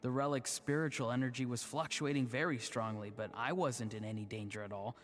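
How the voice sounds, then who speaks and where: A man's voice: medium pitch, steady tone, fairly quick, a bit bright, natural voice. Man playing an easygoing, teasing young grandson. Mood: casual. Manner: casual, teasing. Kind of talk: video game voice acting. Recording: music behind the voice. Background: game music.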